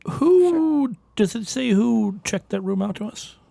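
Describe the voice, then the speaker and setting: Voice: medium pitch, lively intonation, measured pace, gravelly, dark tone, dry recording. A player in a tabletop game, asking blunt, short questions. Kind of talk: casual in-character play.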